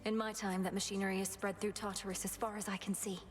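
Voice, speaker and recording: A woman playing an earnest, resolute young witch princess in a video game, speaking in a formal, measured manner. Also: medium pitch, flat delivery, faint music behind, lightly acted. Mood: earnest, urgent. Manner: formal, measured, archaic phrasing. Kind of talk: video game drama acting.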